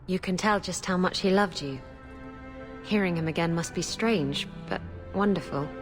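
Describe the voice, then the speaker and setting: Woman as a lower-pitched adventuring companion, music behind. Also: normal speed, lightly acted. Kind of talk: video game voice acting.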